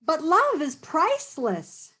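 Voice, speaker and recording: A woman's voice: sits high, huge pitch swings, slow pace, bright, forceful tone, pitched above the performer's own voice, full character voice. A woman voicing a young girl artist, earnest and hopeful, in a read-aloud storybook.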